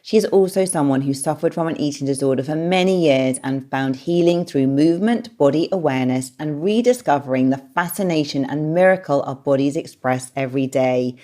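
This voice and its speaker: A woman hosts her podcast with a strikingly low voice. She reads her introductions in a calm, measured way and turns brighter and chattier when she talks with a guest. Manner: measured, calm reading, livelier and higher in conversation. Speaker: podcast host and therapist. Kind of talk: scripted intro, then casual interview chat.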